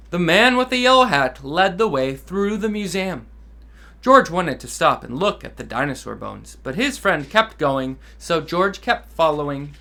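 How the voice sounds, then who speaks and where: A man, a dad, reading a children's picture book aloud with enthusiastic, expressive delivery and asides to kids. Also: fairly high pitch, vocal fry. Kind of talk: children's read-aloud storytelling.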